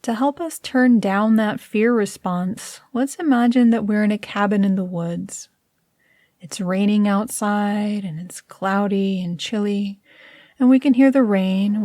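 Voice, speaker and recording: A woman leading a scripted guided meditation in a soothing, measured, instructional manner. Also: mid-range voice, mellow, no room sound.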